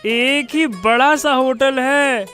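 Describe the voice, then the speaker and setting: A woman as high-voiced, slow-speaking small child. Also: a bit bright, soft background music. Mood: delighted. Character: excited small child talking to father. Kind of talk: Hindi cartoon moral story acting.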